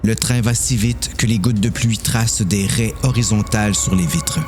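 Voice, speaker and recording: A man's voice: lowish voice, normal speed, over music. A man reading a dramatic story as narrator.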